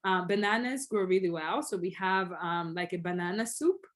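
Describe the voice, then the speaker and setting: Tanzanian woman explaining with lively energy. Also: lowish voice, normal speed. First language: Swahili. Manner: animated, explanatory, many fillers. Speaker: guest. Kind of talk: conversational interview.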